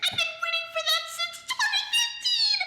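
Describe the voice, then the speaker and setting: Extremely high, squealing superfan cartoon girl, wildly excitable, over loud music. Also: normal speed. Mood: gushing excitement. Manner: squealing, gushing, breathless enthusiasm. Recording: echoey, loud music behind.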